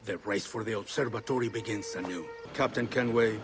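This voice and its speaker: Actor as a stern, imperious assassin leader. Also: male voice, fairly low pitch, natural voice. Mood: cold authority. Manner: formal, commanding, measured. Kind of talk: video game cinematic acting.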